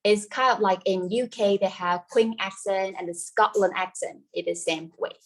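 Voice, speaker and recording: A woman with a central Vietnamese accent leads an informal cooking class. She is a native Vietnamese speaker and uses simple, non-native phrasing that drops small words. Her voice sits at a middle pitch. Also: moderate pace, poor audio. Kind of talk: informal live cooking instruction.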